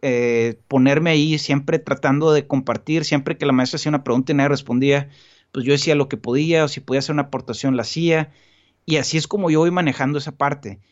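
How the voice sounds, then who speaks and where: A man's voice: a little high, quick pace, dull audio. A man in casual podcast conversation as a guest, talking earnestly and rambling with frequent restarts and fillers. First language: Spanish.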